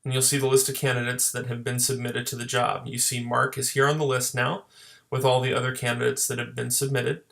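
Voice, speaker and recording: A man with a noticeably creaky, fry-edged voice narrates a screen tutorial. He speaks as a software trainer at a medium pitch. His explanations are plain and move step by step. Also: little pitch movement, fairly quick. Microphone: voice-over for screen recording.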